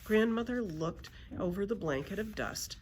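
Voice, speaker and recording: A woman narrating a children's storybook aloud in an expressive read-aloud style. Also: mid-range voice, normal speed, slight background noise. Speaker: librarian reading aloud.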